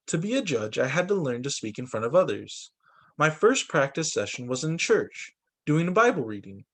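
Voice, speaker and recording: A man with a fairly high voice reads a storybook aloud to children. His delivery is expressive and lively, with pitch that moves around a lot, in the manner of a teacher at story time. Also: normal speed. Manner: animated, acts out dialogue for children.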